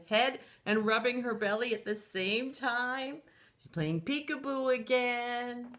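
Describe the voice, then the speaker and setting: A woman's voice: a little high, fairly bright tone. A middle-aged woman reading a picture book aloud to young children in a playful, sing-song manner. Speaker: children's storytime reader.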